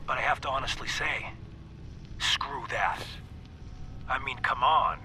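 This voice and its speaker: Cocky crime boss on noisy tape. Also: male voice, pushed and bright. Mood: mocking admiration. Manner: theatrical, sardonic, emphatic pauses. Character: cocky, menacing male crime boss, theatrical and mocking. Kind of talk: dictated message in video game voice acting. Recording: noisy taped message with rumbling ambience.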